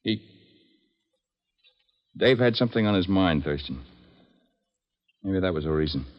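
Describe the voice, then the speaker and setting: An actor playing a guarded, hard-boiled air-freight man, gruff and slangy, in an old-time radio drama. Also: low-pitched, expressive, unhurried. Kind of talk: 1940s-50s American radio drama acting. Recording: dull, noisy old radio recording with clicks.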